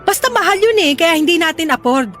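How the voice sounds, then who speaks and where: A stubborn, pushy mother who gambles away the family money, scolding and then wheedling her daughter, as an actress voices her. The voice sits high, and soft music plays beneath this radio-style drama. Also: animated, fairly quick. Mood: coaxing.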